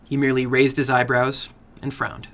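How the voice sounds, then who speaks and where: Guy reading a creepy short story, sharp bright voice, medium pitch, deliberate and suspenseful. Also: monotone. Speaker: horror fiction reader and host. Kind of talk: dramatic story narration.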